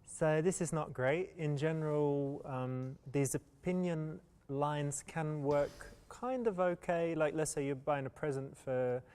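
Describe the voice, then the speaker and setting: A man giving measured, explanatory advice as a dating coach. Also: on the high side, a little mellow, smooth. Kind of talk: analytical advice commentary.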